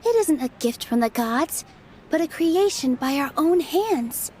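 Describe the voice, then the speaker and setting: A woman playing a gentle, sincere young dancer in a video game, modest and reflective. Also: sits high, expressive, a little slow, a little hiss or hum. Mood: reflective, grateful. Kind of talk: scripted video game dialogue. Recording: light background music under game dialogue.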